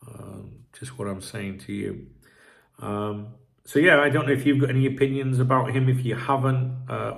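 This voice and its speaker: A man's voice: on the low side, vocal fry. A man with a blocked nose hosting a casual football commentary video, rambling and frank, swearing freely. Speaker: football channel host.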